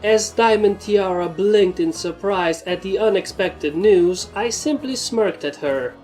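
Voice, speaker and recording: A woman's voice: medium pitch, a little slow, a little mellow, faint music behind, low-quality recording. A woman narrating a scripted story in the first person. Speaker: fan fiction narrator.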